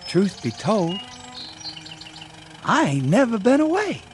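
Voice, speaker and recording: An actor playing a polite, confident young farm son with a rural Southern American accent in a radio drama. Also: male voice, sits high, wildly swooping pitch, takes it slowly, faint music behind, heavily acted. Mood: tender, assured.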